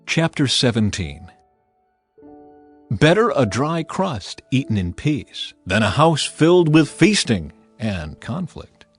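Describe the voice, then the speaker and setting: A man delivers a dramatized scripture reading with lively, varied intonation. His delivery is measured and declarative, set over faint background music, with a mid-pitched voice. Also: husky. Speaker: audio Bible narrator.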